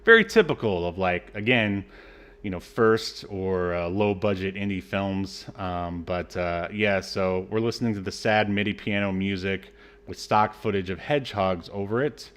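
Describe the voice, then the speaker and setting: A man's voice: deep voice, normal speed, a bit bright. A man co-hosting a podcast, recapping a film in a casual, mocking and sarcastic way. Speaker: podcast co-host recapping a movie.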